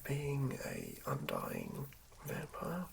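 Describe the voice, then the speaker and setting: A person whispering softly in an ASMR style, narrating a tabletop character build in a breathy, creaky hush. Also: medium pitch, wildly swooping pitch, drawn-out words, a little hiss or hum. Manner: hushed whispering. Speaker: ASMR creator.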